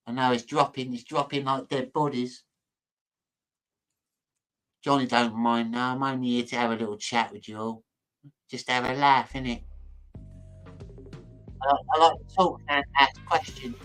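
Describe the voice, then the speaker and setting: Bright-voiced northern English man with London slang. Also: mid-range voice. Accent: northern English mixed with London. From Manchester, northern England, later lived in London. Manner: blunt, slangy, swears freely, repeats phrases. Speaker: livestream host chatting with viewers. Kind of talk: casual chat, reading and answering live comments.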